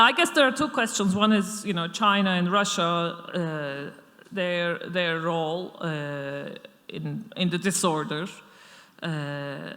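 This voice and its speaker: A woman's voice: on the low side, fairly bright tone. A middle-aged woman lecturer answering audience questions off the cuff, thoughtful, with many fillers and restarts. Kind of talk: unscripted Q&A answer. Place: lecture hall. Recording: echoey lecture hall.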